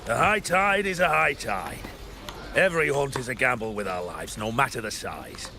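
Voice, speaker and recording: An actor playing a seasoned, steady, wry hunter in a video game, with a matter-of-fact, emphatic delivery. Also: male voice, mid-range voice, moderate pace, vocal fry. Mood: resolute.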